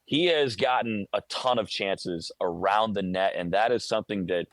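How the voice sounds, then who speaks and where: A man with an American accent and a fairly low voice, recorded close and dry. He gives live sports radio analysis in a conversational, detailed way, often tripping on the first word of a phrase before carrying on. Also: normal speed, dry recording. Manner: conversational, detailed, stammers over word starts. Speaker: radio play-by-play broadcaster, guest analyst.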